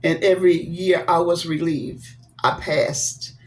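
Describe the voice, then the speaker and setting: A woman's voice: lowish voice, unhurried. A woman of seventy sharing a personal story from her childhood, speaking earnestly and encouraging listeners with blessings. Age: 70s. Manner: devout, blessing viewers. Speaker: vlogger.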